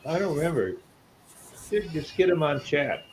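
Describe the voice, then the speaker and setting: A man's voice: fairly high pitch, big pitch swings, normal speed, slight background noise, poor audio. An older man chatting casually and reminiscing in a plain-spoken way. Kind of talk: casual chat.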